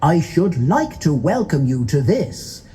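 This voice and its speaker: A man presenting a ceremony with a formal, scripted welcome speech in a measured manner. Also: on the high side, big pitch swings, dark tone, slightly rough. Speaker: event presenter.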